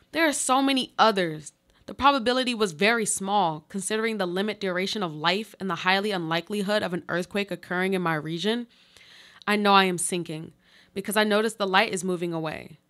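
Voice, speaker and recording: A woman playing a desperate, pleading earthquake victim buried alive, in a deliberate, literary radio play monologue. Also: mid-range voice, fairly bright tone, close to an everyday voice. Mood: anxious recollection. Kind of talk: live radio play monologue, read from a script.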